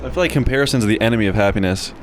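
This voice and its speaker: A man's voice: fairly low pitch, very fast, a little hiss or hum. A man interviewing creators, asking direct questions and joking along.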